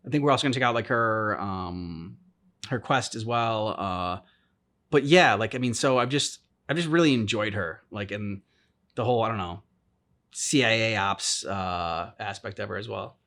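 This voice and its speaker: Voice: medium pitch, normal speed, a bit bright. A reaction video host chatting casually about a game in hesitant, meandering phrases.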